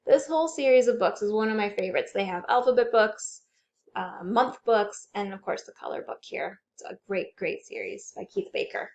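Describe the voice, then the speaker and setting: A woman hosting a children's storytime, reading aloud and chanting rhymes in an animated, exaggerated way for young children. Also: mid-range voice, moderate pace. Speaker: children's librarian storytime host.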